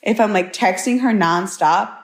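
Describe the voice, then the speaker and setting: A woman's voice: mid-range voice, moderate pace. A young woman chatting casually as a podcast guest, scattering "like" all through her talk. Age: young adult. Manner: frequent "like" as filler. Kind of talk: casual chat. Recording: echoey, roomy pickup.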